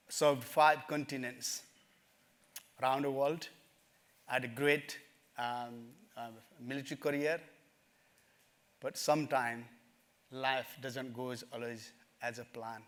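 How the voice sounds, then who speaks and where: A middle-aged man from Nepal, telling his life story in a summit talk, earnest and halting, with many fillers. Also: medium pitch, a bit bright. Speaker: speaker at a summit. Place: conference stage.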